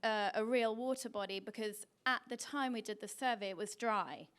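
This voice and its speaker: A woman's voice: fairly high pitch, moderate pace, a bit bright, clear, resonant tone. A woman from the audience at a conference, commenting and asking a question in a conversational way with fillers. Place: conference breakout room.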